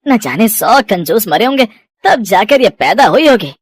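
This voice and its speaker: A woman voicing a grumbling, sarcastic male vegetable seller in an animated moral story. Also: mid-range voice, moderate pace, a little hiss or hum, voice pushed down, lightly acted. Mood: exasperated.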